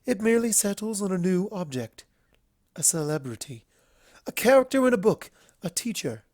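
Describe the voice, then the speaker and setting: A woman's voice: medium pitch, very animated, moderate pace, darkish tone, no room sound, studio quality, pitched above the performer's own voice. A woman voicing a refined female unicorn pony in a storybook reading, earnest and solemn, speaking in a formal, eloquent, emotive way. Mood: pained.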